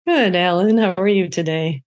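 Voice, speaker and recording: A woman's voice: lowish voice, normal speed. A woman with an American accent co-hosting a podcast, chatting casually and laughing easily. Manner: friendly, laughs easily, short affirmations. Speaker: podcast co-host. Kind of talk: casual chat.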